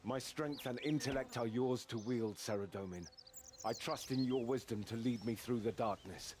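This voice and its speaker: An actor playing a devout, loyal knight in a fantasy game, speaking in a formal, chivalrous and earnest manner. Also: male voice, mid-range voice, moderate pace, a little mellow, slight background noise, natural voice. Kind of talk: scripted fantasy game acting.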